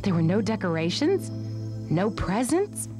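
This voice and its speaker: A woman's voice: medium pitch, sing-song, unhurried, over music. A woman reading scripted narration for a children's storybook. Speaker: storybook reader.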